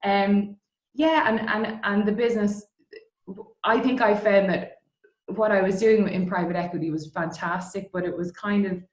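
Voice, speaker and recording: A woman's voice: mid-range voice, fairly even intonation, moderate pace. A middle-aged woman with an Irish accent chatting informally as an interview guest about her own career. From Dublin, Ireland. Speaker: interview guest, distillery co-founder. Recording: roomy, echoey sound.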